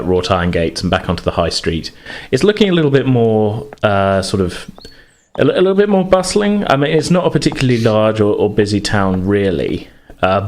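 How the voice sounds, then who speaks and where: A man narrating a tabletop role-playing game as game master, in a halting, searching delivery. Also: fairly low pitch.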